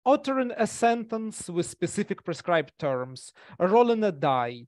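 A man's voice: high voice, sing-song, a little slow, a little mellow, dry recording, studio quality. A man, giving an academic talk over a video call on a headset mic, hesitant with many fillers and restarts. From Russia. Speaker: conference presenter. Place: small room indoors. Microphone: gaming headset.

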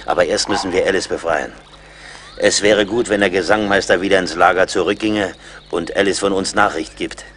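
Deep-voiced, wry frontier woodsman. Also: steady tone, brisk, a bit bright, slight background noise. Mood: measured. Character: seasoned, wry frontier woodsman, brave and commanding. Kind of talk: German radio play acting.

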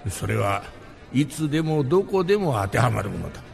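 A firm ancient Greek philosopher speaks in a radio drama. The voice is extremely gravelly and swings widely in pitch, with clear music behind. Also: male voice, big pitch swings, fairly quick.

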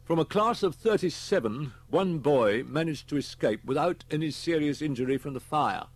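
British chief inspector as a male narrator plays him, brisk, official, raised pitch, husky, audiobook. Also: animated. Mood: grave. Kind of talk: audiobook character voice.

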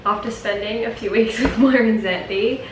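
Slightly raspy young Australian woman, noisy background. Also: on the high side, normal speed. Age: 20s. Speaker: travel vlogger. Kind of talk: casual vlog chat to camera. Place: holiday apartment balcony, kitchen and seaside terrace.

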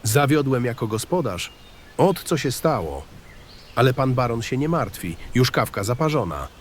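A man's voice: mid-range voice, big pitch swings, moderate pace, slight background noise, lightly acted. A man voicing a good-natured, apologetic writer and country host in a fantasy audio drama, folksy, polite and eager to please.

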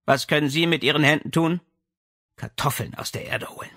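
A man's voice: fairly high pitch, a little fast, creaky, bright, forceful tone, no echo, clean studio sound, pitched above the performer's own voice, full character voice. A man voicing a haughty, imperious lady with a condescending, commanding way of speaking in an audiobook. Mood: scornful. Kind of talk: audiobook character dialogue.

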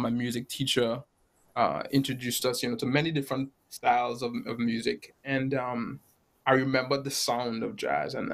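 A man's voice: medium pitch, vocal fry. Man, telling stories. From Trinidad and Tobago. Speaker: guest jazz saxophonist. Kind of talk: casual storytelling in a panel interview. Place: small room at home, indoors. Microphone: laptop or webcam video call.

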